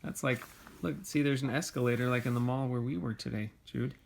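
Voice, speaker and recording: A man reading a storybook aloud as a father to his child, with gentle, conversational questions and asides. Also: mid-range voice, fairly even intonation, a little fast, creaky, background noise.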